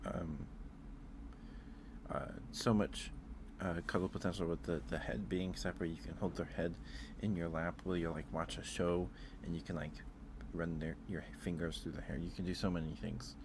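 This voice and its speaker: Man, deep pitch, casual ASMR stream chat, halting delivery, repeated words, clearly noisy recording. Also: level tone. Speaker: streamer hosting a ranking.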